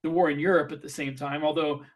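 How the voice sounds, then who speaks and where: Higher-voiced man talking casually, explaining. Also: fairly even intonation, fast. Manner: explanatory, giving advice to writers. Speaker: podcast host and author. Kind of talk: casual podcast discussion.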